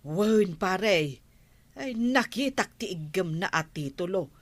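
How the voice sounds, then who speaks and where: An actress playing a kindly, talkative older village woman in a radio drama, with a motherly, expressive manner. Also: lowish voice, slow pace, no room sound. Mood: gossipy, probing.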